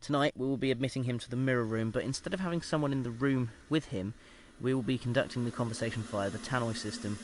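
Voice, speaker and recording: An actor playing a measured research scientist who dictates an experiment log in a formal, clinical manner, with hesitant pauses, in an audio drama. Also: male voice, medium pitch, slight background noise, lightly acted. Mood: measured, uneasy.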